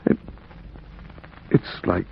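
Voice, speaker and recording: An actor playing an earnest, troubled, sceptical gentleman doctor, formal yet emotional, in 1940s radio horror style. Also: drawn-out words. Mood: distressed. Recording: muffled, faintly hissy old radio broadcast.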